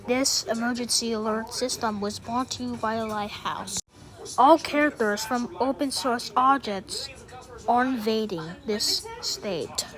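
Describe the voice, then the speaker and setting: A female announcer delivers a scripted emergency alert in a high-pitched voice. Her reading is extremely slow and deliberate, with formal, instructional phrasing throughout. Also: rough recording.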